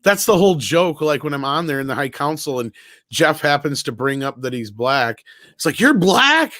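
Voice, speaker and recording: A man's voice: on the high side, sing-song, moderate pace, bright, forceful tone, no echo, clean studio sound. A man hosting a casual panel chat in an informal, rambling way with some swearing. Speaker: panel show host.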